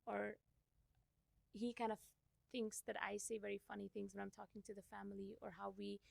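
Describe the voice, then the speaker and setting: Gravelly young woman, reflective guest. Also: mid-range voice, flat delivery, brisk, dry recording. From India. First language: Gujarati. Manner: reflective, thinks aloud with soft laughs. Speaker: podcast guest, company CEO. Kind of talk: casual interview conversation.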